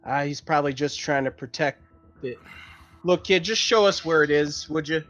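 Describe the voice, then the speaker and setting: A man's voice: a little high, normal speed, a bit bright. A man playing a tabletop roleplaying game, casually voicing what his character says and does. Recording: dull, lo-fi voice audio.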